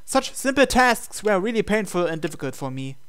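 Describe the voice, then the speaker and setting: A man's voice: high-pitched, big pitch swings, brisk, a bit bright, smooth. A man reading a visual novel aloud as a gamer, breaking into casual, incredulous asides. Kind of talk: game playthrough narration with casual asides.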